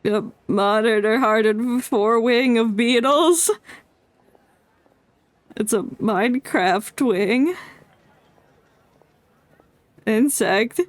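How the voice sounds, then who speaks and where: Slow, high-voiced woman streaming a game. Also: dry recording. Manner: rambling, halting, playful. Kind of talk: casual joking gameplay commentary. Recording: game music faintly behind.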